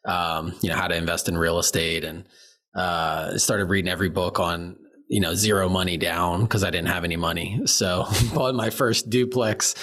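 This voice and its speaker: A man with a very low voice answers as an interview guest, telling his background in an easygoing way. He pauses often on fillers as he talks. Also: a bit bright. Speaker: guest, real estate co-founder.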